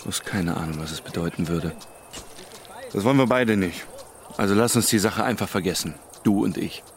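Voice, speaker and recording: An actor playing a smug, corrupt policeman in a radio drama, with a sardonic, unhurried and menacing way of talking. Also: sits low, slight background noise. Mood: quietly threatening. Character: smug corrupt policeman leading a secret group, coolly threatening.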